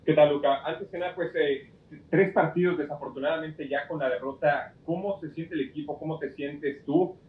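A man's voice: fairly high pitch, fairly even intonation, brisk. A male reporter asking a long multi-part question at a press conference. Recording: tinny echoey phone-like call.

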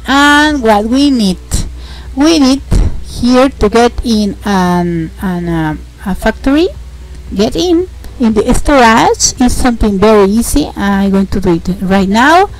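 A young woman with a Mexican accent, a host narrating a casual game tutorial, halting and repeating words as she explains. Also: on the high side, poor audio. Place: home, indoors.

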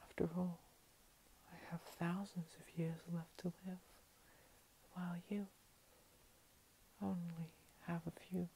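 A woman's voice: fairly low pitch, rough recording. Woman playing a whispering, menacing vampire princess. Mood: playful, possessive. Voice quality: soft, breathy whisper. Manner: slow, teasing, condescending, with lingering pauses. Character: menacing, playful female vampire cat-girl princess, centuries-lived predator. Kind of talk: whispered ASMR roleplay. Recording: steady hiss.

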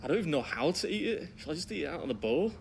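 A man's voice: fairly high pitch, big pitch swings, rapid-fire, gravelly. Dry-witted English man vlogging with music. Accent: Northern English. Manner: dry, self-mocking humor. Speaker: food challenge vlogger. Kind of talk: casual vlog narration. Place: car and restaurant.

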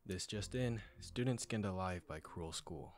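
A man's voice: low-pitched, measured pace, soft background music. A man voicing a cheeky, dramatic, sullen and defiant teenage schoolboy in an anime fan dub. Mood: sardonic.

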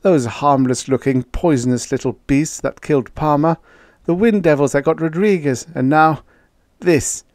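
A man performs a frightened, worn-out explorer on Mars in an audiobook story. The voice is pushed up high and sounds strained, emphatic and panting, recorded very dry and close. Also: normal speed, a little mellow, no room sound, lightly acted. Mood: weary dread. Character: male Mars explorer, frightened, weary and queasy.

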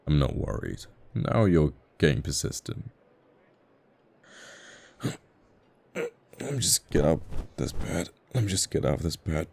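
A man playing a flirty, tender fighter pilot in a romantic ASMR roleplay, stammering bashfully and haltingly. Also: extremely low pitch, big pitch swings, gravelly, darkish tone, heavily acted. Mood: bashful, defensive.

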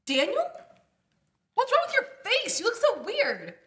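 A woman's voice: very high voice, wildly swooping pitch, measured pace, fairly bright tone, pitched above the performer's own voice, full character voice. A young woman reading the part of a goofy, blunt, teasing sister in a comedy script, with playful exaggeration. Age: young adult. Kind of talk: comedy table read.

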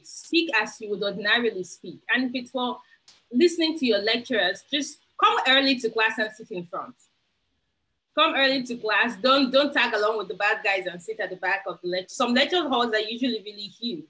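A young Nigerian woman with a Nigerian accent and a bright, ringing tone, speaking over a video call. She gives emphatic, encouraging advice as a webinar panelist. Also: on the high side, low-quality recording.

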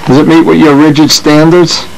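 A man's voice: a little high, flat delivery, moderate pace, creaky, slight background noise, low-quality recording. A man hosting a model airplane channel who talks casually and rambles through his workshop while showing parts, often stopping to restart his sentences. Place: home workshop.

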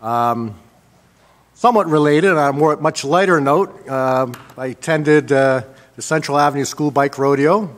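A man in his sixties with an American accent gives measured mayoral remarks at a public meeting, pausing often as he works from notes. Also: a little high. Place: indoor council chamber. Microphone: gooseneck desk microphone.

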